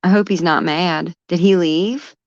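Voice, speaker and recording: A woman's voice: fairly low pitch, steady tone, a little slow, no echo. A woman chatting casually as a guest on a livestream, heard through dull, lo-fi stream audio.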